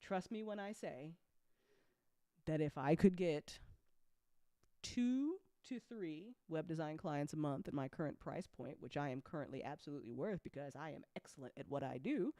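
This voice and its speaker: A woman hosting a casual livestream chat as an audio drama creator, animated and joking, with informal, sweary talk full of y'all. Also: sits low, normal speed, no echo. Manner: informal speech with frequent y'all. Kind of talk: casual livestream chat answering audience questions.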